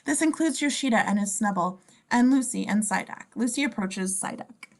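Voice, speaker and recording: A woman's voice: mid-range voice, expressive, normal speed. Female narrator, middle register, reading screenplay scene descriptions for a table read. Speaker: stage directions narrator.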